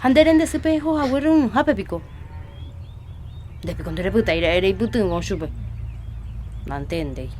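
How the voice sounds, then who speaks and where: A woman's voice: medium pitch, lively intonation, normal speed, long pauses, darkish tone, a little hiss or hum, natural voice. A woman playing a caring, busy catechist in a Paraguayan radio soap opera, with a Paraguayan accent. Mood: concerned. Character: Juliana, caring, busy catechist woman organizing a village festival.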